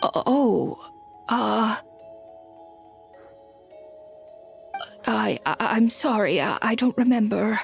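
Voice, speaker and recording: A woman's voice: a little high, expressive, vocal fry, full character voice. A woman playing a kindly, forgetful old grandmother in a video game, hesitant and doting, with a wavering, aged voice. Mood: confused, apologetic. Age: older. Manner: slow, hesitant, fussing and doting. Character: forgetful, kindly old granny with failing memory. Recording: background music under the dialogue.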